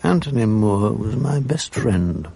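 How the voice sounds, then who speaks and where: An actor playing a reflective English man who narrates memories of boarding-school days in a measured, articulate, literary way. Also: fairly low pitch, expressive, gravelly, heavy background noise. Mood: wistful, reflective. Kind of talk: literary first-person drama narration.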